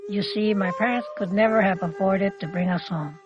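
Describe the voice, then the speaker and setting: A woman's voice: medium pitch, moderate pace. A woman, speaking in a reflective way as she recalls personal memories. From Canada. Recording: muffled audio with music behind. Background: music.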